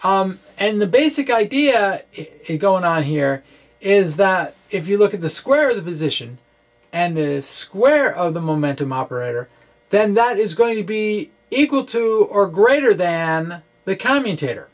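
Higher-pitched man explaining physics haltingly. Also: lively intonation. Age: middle-aged. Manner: halting, wry and quirky, with playful asides. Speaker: physics blogger and presenter. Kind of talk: informal explanatory physics monologue to camera. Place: small indoor room with bookshelves. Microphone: microphone on a stand in front of him.

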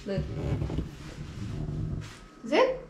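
A young woman from the Philippines, Filipino her first language, chatting playfully and giggling in a casual home vlog. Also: extremely slow. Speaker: vlogger. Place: small home living room and bathroom, indoors. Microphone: handheld camera at arm's length. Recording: noisy home camera audio.

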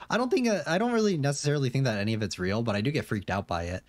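A man's voice: mid-range voice, very fast, no echo. A young man streaming a game, chatting casually and rambling, with lots of fillers and restarts, and reading on-screen questions aloud.

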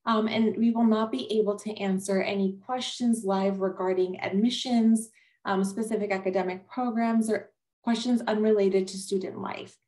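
A woman's voice: mid-range voice, fairly even intonation, normal speed, darkish tone. A woman admissions officer introduces a webinar over a video call. She talks in a friendly, organized way, with frequent "um" fillers between points. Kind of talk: scripted webinar hosting.

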